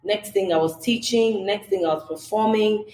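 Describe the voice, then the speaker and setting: A woman's voice: medium pitch, moderate pace, darkish tone. A young Nigerian woman with a Nigerian accent, chatting as a podcast guest, full of "you know" fillers. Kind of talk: casual interview chat. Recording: echoey room with background noise.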